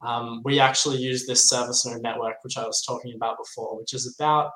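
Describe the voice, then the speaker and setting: A man's voice: mid-range voice, flat delivery, brisk, sharp tone. A man with an Australian accent explaining things as an interview guest on a remote call. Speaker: guest, software project developer. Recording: roomy, echoey sound.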